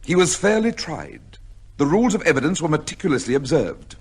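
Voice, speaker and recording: An actor playing a cold, proud judge in an old radio drama, speaking in a formal, emphatic way. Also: male voice, a little high, sing-song, fairly quick, a little mellow, a little hiss or hum. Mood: cold, insistent. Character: stern, cold, proud retired English high court judge. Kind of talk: old-time radio drama acting, first-person narration. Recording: old radio recording with music.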